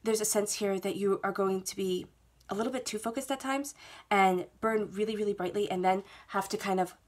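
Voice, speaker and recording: A woman's voice: mid-range voice, brisk. A woman with a head cold giving a tarot reading at a table, talking in a soothing, reassuring way and often checking in with "okay?". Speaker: tarot reader. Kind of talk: monthly tarot reading to camera. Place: indoors at a table, reading laid-out cards.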